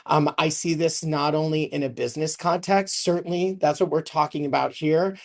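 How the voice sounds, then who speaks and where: A workshop leader presenting to a webinar in an earnest, reflective way, with a creaky voice. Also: medium pitch, fairly even intonation, normal speed. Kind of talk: webinar presenting, thinking aloud.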